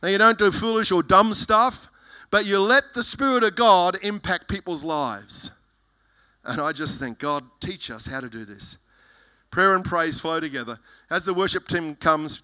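A man preaching a fervent sermon as pastor, with a loud voice, reading scripture verses aloud. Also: high-pitched.

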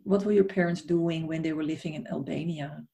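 A woman's voice: sits low, steady tone, brisk, creaky. A woman hosting an interview podcast, introducing her guest and asking questions in an admiring, conversational way. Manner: warmly admiring, conversational with restarts. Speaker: podcast host.

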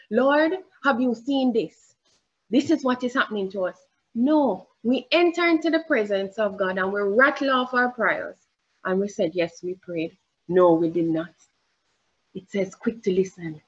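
A woman with a Jamaican accent preaching a sermon in church, deliberate and emphatic, rising on her questions and pausing with fillers as she searches for words. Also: a little high, breathy edge, dull audio.

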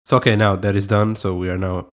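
A man's voice: lowish voice, quick pace. A young man from Portugal with a Portuguese accent, co-hosting a casual podcast chat with easygoing hesitations and laughter. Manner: relaxed, hesitant, laughs often. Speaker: podcast co-host.

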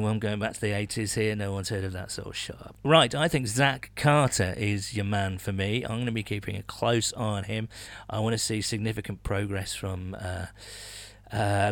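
A man chats casually about sport as a podcast co-host. His delivery is halting, with repeated words and restarts, and he sounds closely recorded with very little room around him. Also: on the low side, no echo.

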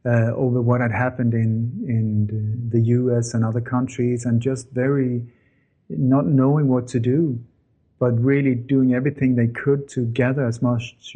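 A man's voice: mid-range voice, warm tone, husky. A middle-aged man, telling his story to an interviewer in an earnest way with many fillers and self-corrections. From born in Denmark, grew up in Sweden. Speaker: interview guest, former journalist.

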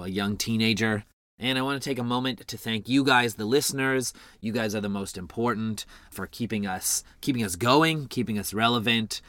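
A man's voice: on the low side, sharp tone, no echo. A young man hosting a podcast, upbeat and thankful, sounding slightly nasal as he gets over a cold. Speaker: podcast host. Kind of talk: podcast intro and interview.

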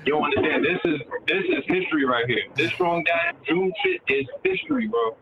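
A male caller on a call-in show, talking excitedly in slang and swearing. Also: fairly high pitch, brisk. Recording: dull phone line with loud crowd behind.

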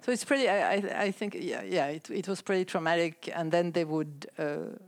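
A woman in her sixties from France, with a French accent, telling her life story as a podcast guest in a soft, hesitant way with frequent small laughs. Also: lowish voice, expressive, moderate pace. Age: 60s. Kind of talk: casual chat.

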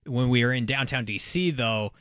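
A man's voice: medium pitch, moderate pace, a bit bright, dry recording, studio quality. A man co-hosting a sports talk show, speaking casually and slangily with an enthusiastic storytelling manner. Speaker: sports radio co-host.

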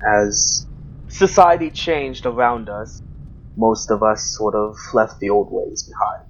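A man's voice: fairly low pitch, expressive, takes it slowly. Man playing a solemn keeper of old ways. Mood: solemn, guarded. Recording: dull, noisy hobby microphone.

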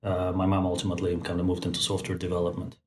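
A man in his forties, born in Moscow and raised in Central Asia, speaks thoughtfully as a podcast guest, pausing often to find his words. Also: deep voice, monotone. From born in Moscow, raised in Tajikistan. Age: 40s. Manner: thoughtful, many fillers and restarts. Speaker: guest, company founder. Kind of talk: podcast interview. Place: Bay Area.

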